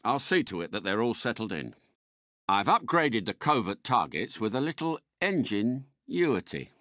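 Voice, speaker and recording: An actor playing a suave, courteous British spy car in a video game, a polite and unflappable mentor with refined, precise phrasing. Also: male voice, on the low side, big pitch swings, normal speed, fairly bright tone, no room sound, clean studio sound. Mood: briskly instructive. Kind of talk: scripted game character voice lines.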